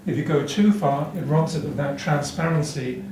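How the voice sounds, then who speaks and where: Man, slightly high voice, explanatory exhibitor, informal technical demo, echoey room. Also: moderate pace, background noise. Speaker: exhibitor presenting hi-fi equipment. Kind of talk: informal product demonstration. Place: indoor hi-fi show demonstration room. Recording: roomy show demo room with music playing. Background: music playing.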